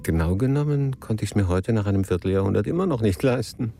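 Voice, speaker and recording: An actor in a radio play playing a wry, urbane, nostalgic middle-aged bridegroom. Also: male voice, fairly low pitch, animated, quick pace, gravelly, dark tone. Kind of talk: 1990s German radio play acting.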